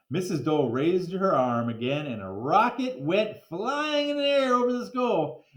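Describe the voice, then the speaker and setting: A man reading a children's book aloud in an animated storytelling style. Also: extremely high pitch. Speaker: story reader. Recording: roomy, echoey sound.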